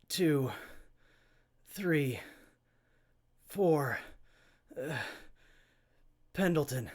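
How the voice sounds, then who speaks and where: An actor playing a weak, dazed young man trapped in armor, speaking haltingly and faintly in a post-apocalyptic radio drama. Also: medium pitch. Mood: dazed, delirious.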